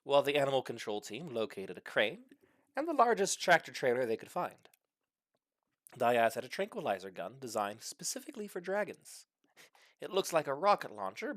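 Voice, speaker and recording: A man's voice: medium pitch, a bit bright, dry recording, clean studio sound. A man reading a comic short story aloud with mock-serious, dramatic delivery. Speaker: story reader.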